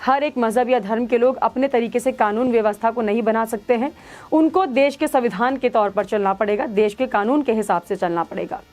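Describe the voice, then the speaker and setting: High-pitched woman presenting news commentary. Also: brisk, a little mellow. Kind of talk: news commentary, explaining to viewers. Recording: music under the voice. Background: background music.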